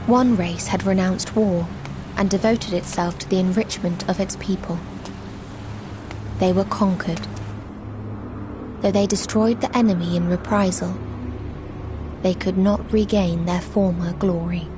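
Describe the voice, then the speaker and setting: An actress playing a mournful, otherworldly being who speaks for many in a video game, in solemn, declamatory, archaic language. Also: female voice, lowish voice, fairly even intonation, a little slow, pauses often, music in the background, close to an everyday voice. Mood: grave reporting. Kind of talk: video game cutscene monologue, formal archaic narration.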